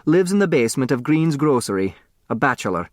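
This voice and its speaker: A man's voice: medium pitch, big pitch swings, a little fast, dry recording, studio quality, lightly acted. A man voicing an earnest, folksy doorman who reports in plodding, clipped phrases in a storybook reading. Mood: matter-of-fact.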